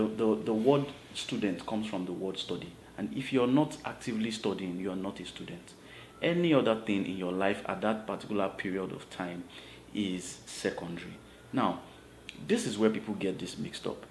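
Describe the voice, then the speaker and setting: A man's voice: fairly low pitch, a little hiss or hum. A man in his thirties giving earnest advice to camera from a home office, with an emphatic, preachy manner and frequent "right?" tags. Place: home office with shelves, indoors. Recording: roomy sound with faint ambience.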